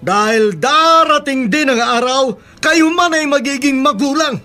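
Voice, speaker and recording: A man's voice: extremely high pitch, unhurried, bright, forceful tone, soft background music, exaggerated voice. An actor playing a self-sacrificing, doting middle-aged father with a Filipino accent, earnest and pleading, in a radio drama. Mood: earnest, impassioned.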